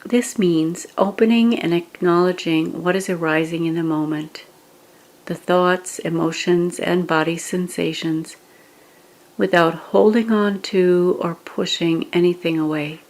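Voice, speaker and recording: A woman leading a guided meditation, giving scripted instructions in a gentle, measured manner with pauses. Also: on the low side, steady tone, poor audio.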